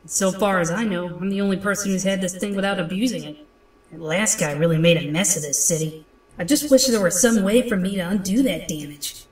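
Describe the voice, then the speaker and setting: A woman's voice: medium pitch, a little fast, darkish tone, lightly acted. A woman playing a troubled, determined young comic book heroine thinking aloud, recorded with echo at a distance. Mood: pondering. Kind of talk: comic book dub acting, inner monologue. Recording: echoey, distant from the mic.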